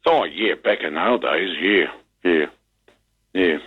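A man's voice: deep voice, expressive, takes it slowly, husky. An older man with a New Zealand accent calling a talkback radio show, rambling hesitantly with many ums and repeated words. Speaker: caller. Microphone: telephone. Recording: tinny phone line.

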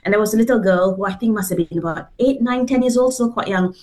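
A woman's voice: mid-range voice, fast talker, a little mellow. A middle-aged woman from Singapore, a guest telling her story earnestly over a video call, with fillers and restarts. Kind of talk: remote interview.

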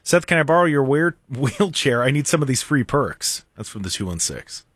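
A man's voice: mid-range voice, sing-song, normal speed, fairly bright tone, husky, no room sound, studio quality. A man hosting a talk radio show, bantering casually and teasingly and reading out texts from listeners. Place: radio studio.

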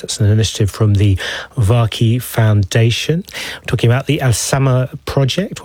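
A man presents and interviews on a news programme. His voice sits at a middle pitch. The studio sound is dry and close. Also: a little mellow, husky, no room sound. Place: radio studio.

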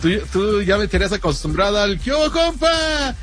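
Animated high-voiced sports radio host. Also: male voice, normal speed, faint music behind. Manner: animated, chatty, playful.

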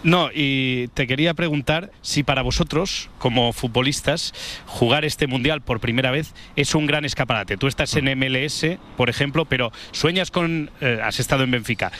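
A man's voice: medium pitch, fairly bright tone. A man with an accent from Spain, asking conversational interview questions and rephrasing them as he goes. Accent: Spain Spanish. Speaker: sports interviewer, co-host.

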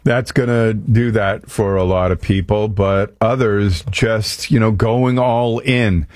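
A man's voice: lowish voice, fairly even intonation, slow, darkish tone, dry recording. A man hosting a live radio news talk show, talking in a loose, conversational way. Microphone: studio mic.

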